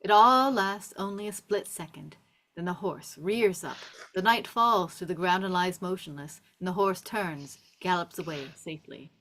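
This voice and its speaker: Low-voiced woman narrating a script. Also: normal speed, fairly bright tone, rough recording. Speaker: narrator reading stage directions.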